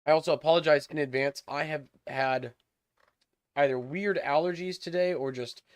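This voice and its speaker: A man's voice: a little high, fairly even intonation, moderate pace, clean tone, no room sound. Young guy w a stuffed up nose rambling thru a game stream, um-ing a lot, dry close mic sound. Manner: rambling, thinking aloud with many fillers. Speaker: livestream host. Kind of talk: casual game livestream chat. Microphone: desk microphone.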